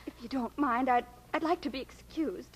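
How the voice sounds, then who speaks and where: An actress playing a poised, coy young older sister with an affected manner, in 1940s radio style. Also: female voice, sits high, fairly quick, breathy edge. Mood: poised, secretive. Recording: noisy old radio recording.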